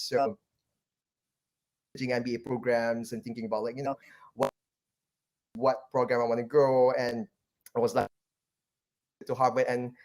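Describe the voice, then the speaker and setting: A man's voice: medium pitch, darkish tone, poor audio. A man in his thirties from Malaysia, a podcast guest talking casually about his career and often restarting with fillers. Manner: rambling, many fillers and restarts. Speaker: guest, venture capital partner. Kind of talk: casual interview, life story.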